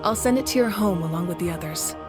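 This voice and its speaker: A woman playing a gracious, composed female ruler in a video game, speaking in a measured, authoritative manner. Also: on the low side, music in the background, lightly acted. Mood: kindly.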